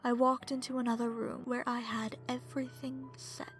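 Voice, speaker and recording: A woman playing a seductive, smug and predatory vampire in an ASMR horror story, speaking in a sultry, breathy way that slips into whispers. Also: on the high side, level tone, moderate pace, soft background music, lightly acted. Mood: coldly gloating. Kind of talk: ASMR horror story narration in first person.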